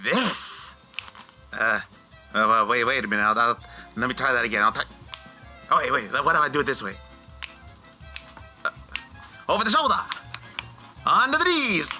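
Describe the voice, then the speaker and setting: Cheeky Hanukkah spirit, very sharp forceful voice, lively swooping pitch, brash teasing delivery, comic radio play. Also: male voice, normal speed, pauses often, soft background music. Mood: fumbling.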